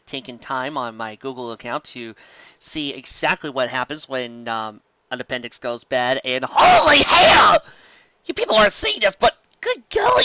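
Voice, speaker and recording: A woman's voice: fairly low pitch, pushed and bright, no echo, muffled recording. A woman reviewer reading fan fiction aloud with comic asides, theatrical and exclamatory.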